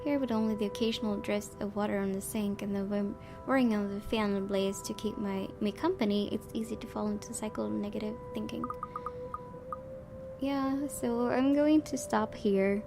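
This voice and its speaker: A woman's voice: medium pitch, fairly even intonation, normal speed, over music. A woman hosting a gaming video, reading a visual novel aloud and commenting casually. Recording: clearly noisy home recording.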